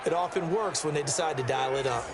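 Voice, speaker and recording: A man's voice: fairly high pitch, fast talker, natural voice. A man playing a color commentator in a football video game, explaining plays in an emphatic way. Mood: engaged. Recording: noisy stadium crowd behind the commentary. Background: loud crowd in a football stadium.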